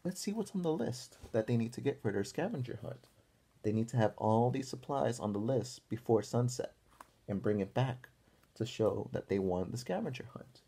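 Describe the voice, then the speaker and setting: A man reads a children's picture book aloud in a teacherly way. His voice is soft-edged and mellow with a slightly husky grain, and it moves widely in pitch. He goes deliberately and pauses to put questions to young listeners. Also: expressive.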